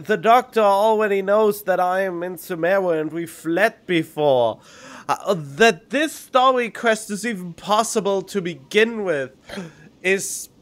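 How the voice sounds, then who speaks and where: A man who is not a native English speaker, giving casual, blunt game commentary with halting phrasing. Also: high voice, a bit bright. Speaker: game streamer. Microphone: studio condenser microphone. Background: faint game music.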